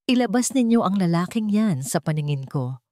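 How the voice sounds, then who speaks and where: Wealthy, wounded mother for an audio story, played by a female narrator: cold, commanding, low register, close dry sound. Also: expressive, normal speed, warm tone, no echo, lightly acted. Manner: accusing, cutting, commanding. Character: cold, wounded, imperious billionaire mother confronting a deceiver. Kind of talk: dramatic storybook dialogue.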